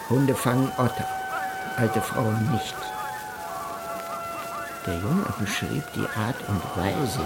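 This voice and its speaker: An actor playing a 19th-century European explorer in a radio play, narrating his voyage in a deliberate, solemn, condescending literary manner. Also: on the low side, creaky, noisy background. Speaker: actor reading a historical explorer's account. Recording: loud music under the voice.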